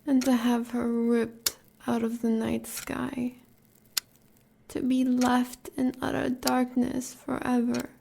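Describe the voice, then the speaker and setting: An American woman playing a fierce, devoted werewolf huntress consoling her lover in an audio roleplay, solemn and measured, with a breathy voice. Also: fairly high pitch, completely flat delivery, dark tone, a little hiss or hum, heavily acted. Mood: sorrowful, consoling. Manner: solemn, measured, poetic delivery.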